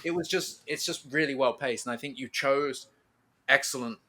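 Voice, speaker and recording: A man's voice: mid-range voice, normal speed, a crowd in the background. A man hosting a casual podcast, slangy and encouraging, with some noise behind his voice. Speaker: podcast host. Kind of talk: casual chat.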